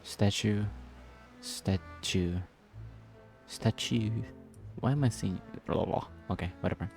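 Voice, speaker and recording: A man's voice: on the low side, slow, a little mellow, faint music behind. A man doing casual, gushing playthrough commentary, often repeating himself as he reacts to the story. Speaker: gameplay video creator.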